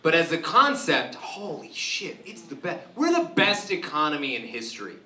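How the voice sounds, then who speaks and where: A stand-up comedian from Romania performing a live club set, delivering dry set-ups and jumping into loud, shrill voices for his punchlines. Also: wildly swooping pitch, moderate pace, far from the mic. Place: live club show with audience laughter. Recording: roomy club sound from afar, audible noise.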